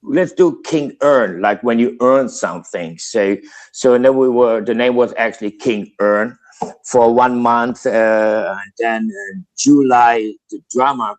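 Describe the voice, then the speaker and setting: A man's voice: mid-range voice, poor audio. A man, the band's founder, telling a story in casual interview chat, rambling with many fillers and restarts. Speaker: band founder and guitarist guest.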